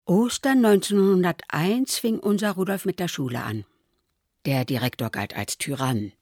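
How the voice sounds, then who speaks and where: An older woman playing an aging mother who soberly recalls her troubled son in a radio play, her voice low, husky and creaky. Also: animated, normal speed, darkish tone, dry recording, clean studio sound. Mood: sober recollection.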